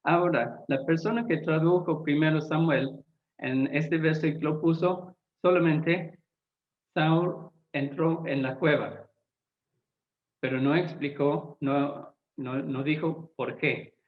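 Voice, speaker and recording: Non-native man giving a translation talk, slow, stops to find words, tinny echoey call audio. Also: a little high, fairly even intonation. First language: not Spanish. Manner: deliberate, often pauses to search for words. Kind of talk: lecture-style explanation. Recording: echoey, tinny phone-like sound.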